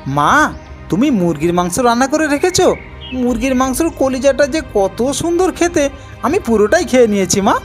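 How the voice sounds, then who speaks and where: A woman's voice: high-pitched, big pitch swings, moderate pace, faint music behind, voice pushed up. A woman voicing an eager, earnest young village boy in a cartoon folk tale. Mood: delighted. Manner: earnest, repeats his pleas. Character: woodcutter's young son, eager, polite, bold boy.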